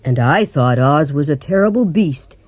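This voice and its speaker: An earnest tin woodman, as a woman reading a storybook plays him, firm but plaintive. He speaks close to the reader's own low, warm voice, with a dry, close sound. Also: animated, moderate pace, no echo.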